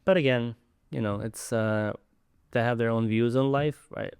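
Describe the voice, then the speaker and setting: A man's voice: mid-range voice, normal speed, vocal fry, darkish tone, clean tone, no echo, studio quality. A man, a podcast guest talking earnestly in casual conversation and often repeating words as he searches for them. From Ukraine. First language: Ukrainian.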